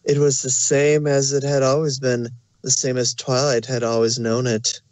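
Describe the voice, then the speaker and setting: A man's voice: medium pitch, monotone, moderate pace, darkish tone, dry recording. A man reading scripted fan fiction as the narrator of a story.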